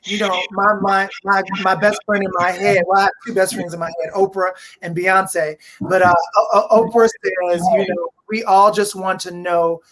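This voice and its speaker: A man moderating a conversation, speaking in a halting way and repeating words as he forms his thoughts. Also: high voice, fairly even intonation, fairly bright tone, poor audio. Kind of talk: conversational interviewing.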